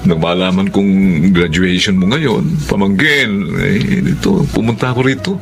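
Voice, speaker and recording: Mababang-boses, nauutal-utal na tiyuhin. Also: male voice, lowish voice. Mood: proud, congratulating. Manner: halting, repetitive, filler-filled. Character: awkward, good-natured uncle congratulating his niece. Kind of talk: Filipino radio drama acting. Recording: clear music under the voice.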